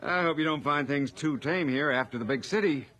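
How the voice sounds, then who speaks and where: An actor playing a genial, evasive small-town police chief in a radio drama, with a hearty, folksy manner. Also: male voice, a little high, brisk, pushed and bright.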